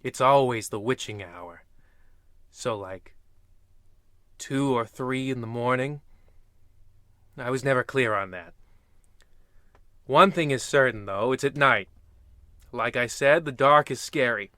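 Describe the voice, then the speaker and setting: An actor playing a pompous, tongue-in-cheek narrator of an old-time radio horror show, ominous but awkward. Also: male voice, mid-range voice, slow, pauses often, bright, forceful tone, no echo, studio quality. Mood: sheepish, rambling. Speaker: narrator and host.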